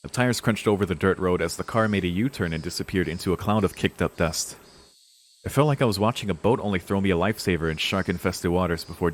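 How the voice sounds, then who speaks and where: Deep-voiced man doing casual let's play commentary. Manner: foul-mouthed, informal, emotionally invested. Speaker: streamer playing and reading a visual novel. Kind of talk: casual let's play commentary mixed with reading game text aloud.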